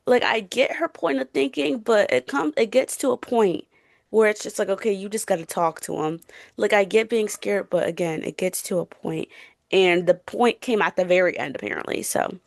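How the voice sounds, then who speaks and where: A woman's voice: mid-range voice, normal speed, vocal fry, dry recording, studio quality. A young woman co-hosting a casual book chat in an informal, emphatic, opinionated way. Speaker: book podcast co-host.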